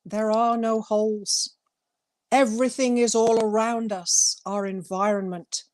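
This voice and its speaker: A woman's voice: on the high side, steady tone, unhurried, a little mellow, no echo. A woman co-hosting a talk show as a poet, speaking earnestly and emphatically and repeating phrases for emphasis. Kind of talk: heartfelt talk and poetry reading.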